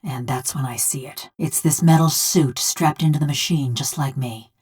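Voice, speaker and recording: Low-pitched, vengeful bronze construct woman. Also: normal speed, a little mellow, husky. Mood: somber, reflective. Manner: folksy rustic drawl, sardonic. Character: vengeful, wry bronze construct housing a murdered village woman's soul. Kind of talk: video game character dialogue.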